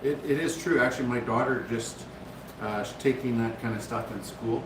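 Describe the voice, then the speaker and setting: A man of middle pitch answering in short, easygoing bits, heard from a distance. The room is echoey, and loud steady noise runs under his voice. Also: normal speed. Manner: laid-back, brief replies. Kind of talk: casual chat. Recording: distant voice in an echoey, noisy workshop.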